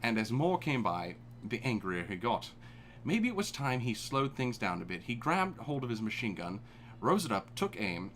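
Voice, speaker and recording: Animated man reading fanfic aloud. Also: mid-range voice, moderate pace, slight background noise. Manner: dramatic reading, breaks off laughing and swearing. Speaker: fanfic reader. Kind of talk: comedic live fanfic reading.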